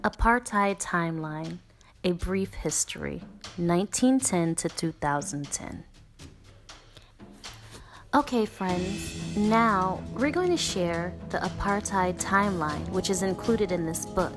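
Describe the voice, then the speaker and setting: A woman's voice: fairly low pitch, lively intonation, slow pace, over music. Woman reading a children's book aloud, expressively. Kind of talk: read-aloud storytelling and narration.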